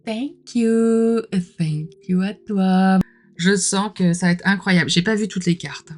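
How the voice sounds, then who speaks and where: A woman gives a casual, gushing commentary as an unboxing host. Her voice sits at an average pitch and she is mildly under the weather, with a throat that needs clearing. Soft music plays faintly behind her informal, exclamatory talk. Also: slow. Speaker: host of a tarot unboxing channel.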